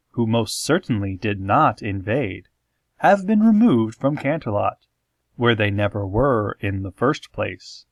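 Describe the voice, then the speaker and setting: A man playing a solemn, eerie pony radio host, reading scripted parody news in a measured, formal deadpan. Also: medium pitch, no echo.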